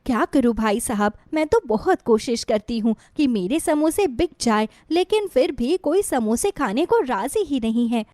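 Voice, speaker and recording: A woman's voice: fairly high pitch, lively intonation, moderate pace, few pauses, warm tone, no room sound, studio quality, natural voice. A woman voicing a hardworking, forgiving poor village mother who speaks earnestly in storybook dialogue. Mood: discouraged.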